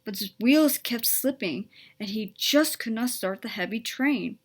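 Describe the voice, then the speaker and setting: A woman's voice: a little high, lively intonation, unhurried. A woman reading a children's story aloud as a scripted narration.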